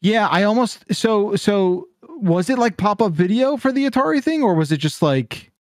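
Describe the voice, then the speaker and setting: A man's voice: high-pitched, animated, normal speed, dry recording. A man hosting a podcast, chatting casually into a close microphone. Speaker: podcast host. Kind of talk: casual chat. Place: indoor room, close studio mic. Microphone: microphone on boom arm, headset.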